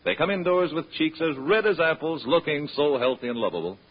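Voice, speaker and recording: Husky, animated American radio announcer. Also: male voice, a little high, fairly quick. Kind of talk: scripted vintage radio advertising. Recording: dull vintage radio recording.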